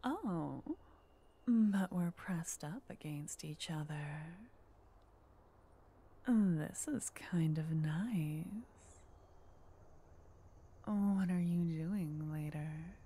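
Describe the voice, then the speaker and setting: A woman playing an unhinged, flirtatious yandere arsonist in an ASMR roleplay, teasing and conspiratorial in a breathy half-whisper. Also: sits low, very slow, long pauses, dark tone, full character voice. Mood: flirtatious, drawn.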